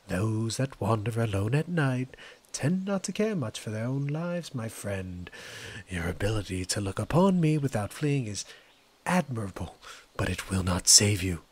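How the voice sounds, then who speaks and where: A man playing a husky, low eldritch forest monster. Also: big pitch swings, a little slow, full character voice. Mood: cold warning. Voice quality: breathy, husky, often dropping toward a whisper. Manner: theatrical, formal, imperious diction. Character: proud ancient eldritch forest entity, menacing yet needy, pleading male monster. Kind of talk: ASMR roleplay acting.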